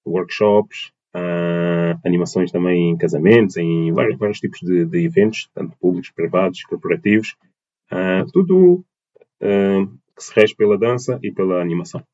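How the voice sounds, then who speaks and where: A man's voice: low-pitched, moderate pace, dark tone, lo-fi sound. A man in his twenties from Portugal, answering as a podcast guest, with many fillers and restarts. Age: 20s. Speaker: podcast guest, dance teacher. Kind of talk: casual interview answers.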